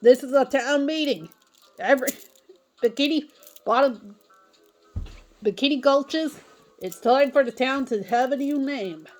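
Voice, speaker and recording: A woman voicing a bossy town crier in a cartoon fan drama, calling out loudly and declaiming. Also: sits high, measured pace, long pauses, soft background music, voice pushed up. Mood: commanding.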